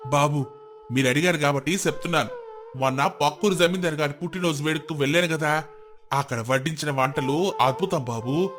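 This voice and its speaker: Man as a deferential, fairly high-voiced clerk. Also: a little fast, a bit bright, faint music behind, close to an everyday voice. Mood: eager report. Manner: deferential, earnest. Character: polite, eager, flattering male clerk serving a landlord.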